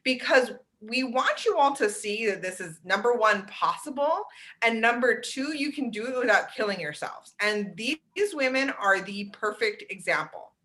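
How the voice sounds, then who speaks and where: A woman's voice: on the high side, expressive, moderate pace, bright, forceful tone. A woman co-hosting an interview show, upbeat and chatty with self-deprecating asides. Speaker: podcast co-host.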